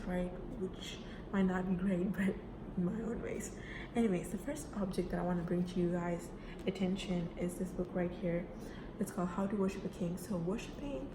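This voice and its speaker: Young woman from the UAE, relaxed class talk, full of fillers, slightly low voice, recorded in a noisy echoing room. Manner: casual, chatty, with frequent fillers like "right?" and "you know". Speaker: student giving a class speech. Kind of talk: personal self-introduction speech. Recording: echoey room with background noise.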